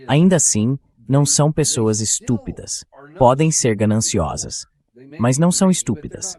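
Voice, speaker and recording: Male host, Brazilian accent, medium pitch, AI dub of conversational political commentary. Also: darkish tone. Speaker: interview host. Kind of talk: AI-voiced translated conversational commentary.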